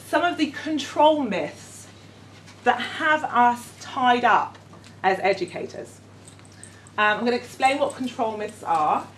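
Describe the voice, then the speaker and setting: Middle-aged London woman, higher voice, halting workshop presenter, far mic in an echoey room. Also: slight background noise. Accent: London English. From London, England. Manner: hesitant, many ums, warm and self-deprecating. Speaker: educator leading a workshop. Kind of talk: conference workshop talk. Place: conference room with audience.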